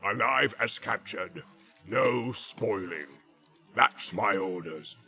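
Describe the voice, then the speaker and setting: A man voicing a brutal, boastful orc captain with a deep growl who barks orders. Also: lively intonation, takes it slowly, slightly rough, exaggerated voice. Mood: gruff. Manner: barking orders, shouting.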